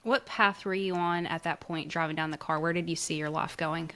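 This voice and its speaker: A woman's voice: deep voice, steady tone, brisk, bright, forceful tone. A woman hosting a casual interview podcast, talking in a folksy, chummy way. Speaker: podcast host interviewing a guest.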